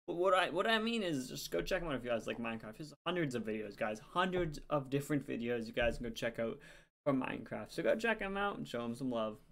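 A tired young man, rambling casually through channel shoutouts into a desk webcam in a small room. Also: fairly high pitch, creaky. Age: young adult. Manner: rambling, chatty, self-deprecating. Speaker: YouTuber.

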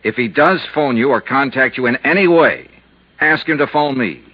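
An actor playing a cool, shrewd insurance investigator in a 1950s radio drama, terse, measured and matter-of-fact. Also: male voice, medium pitch, slightly rough, natural voice. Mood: cool, persuasive. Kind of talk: 1950s radio drama, hard-boiled narration and dialogue. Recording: muffled 1950s radio recording.

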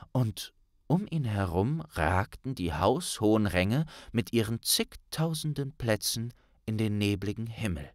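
Slow, expressive male storyteller. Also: fairly low pitch, no room sound, clean studio sound. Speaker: audiobook narrator. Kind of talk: scripted dramatic narration of fan fiction.

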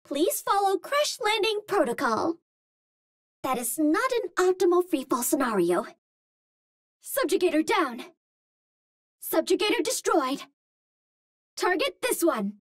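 A woman's voice: extremely high pitch, very animated, measured pace, long pauses, no echo, studio quality, full character voice. A woman voicing a cute, earnest young Martian heroine in a video game, with precise, formal phrasing. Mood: focused, matter-of-fact. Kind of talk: video game character voice lines.

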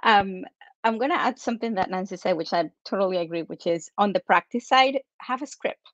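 A woman's voice: medium pitch, brisk, no room sound. Spanish-accented woman on a muffled call. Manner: earnest, practical advice. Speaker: panelist. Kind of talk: casual panel chat. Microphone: video call.

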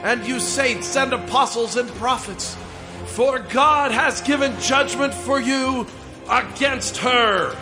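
A stern voice from heaven in an audio Bible drama, pronouncing judgment. It is very high, slow and commanding, with music clearly behind it. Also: male voice. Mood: solemn commanding. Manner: solemn, commanding.

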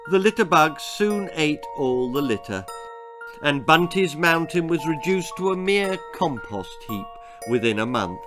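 An older man tells a spooky, funny children's tale. He is deliberate and theatrical, taking his time to build suspense, with music clearly playing behind him. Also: a little high, big pitch swings. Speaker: author reading his own story. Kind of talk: dramatic children's horror-comedy storytelling. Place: book-lined room indoors.

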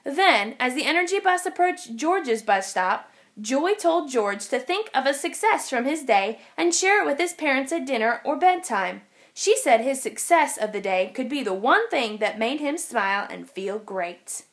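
Animated, high-pitched woman reading a storybook. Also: moderate pace. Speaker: storybook reader. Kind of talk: read-aloud of a children's picture book.

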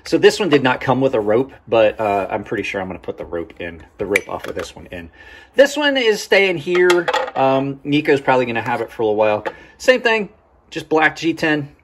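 A man in his forties with a slightly husky voice gives a casual, rambling product review, often repeating words and backtracking. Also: medium pitch, sing-song, moderate pace, noisy background. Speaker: host of knife review channel.

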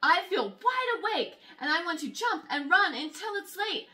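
High, very animated woman reading to kids. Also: normal speed. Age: young adult. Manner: expressive, exaggerated storytelling for young children. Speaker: teacher reading aloud to children. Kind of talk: animated children's picture book read-aloud. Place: small room at home, indoors.

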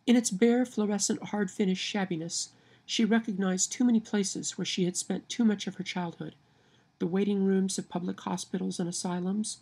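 A woman's voice: mid-range voice, creaky, darkish tone, slightly rough. A woman from Kansas with a Midwestern accent and a nasal twang, reading a word list and a novel passage aloud to a webcam at home. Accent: Midwestern American. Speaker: vlogger. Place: home room by window blinds, indoors. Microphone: webcam at arm's length.

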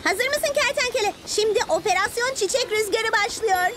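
A woman's voice: very high-pitched, moderate pace. A woman voicing an eager, decisive young girl owl superhero in a cartoon dub. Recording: music under the voice.

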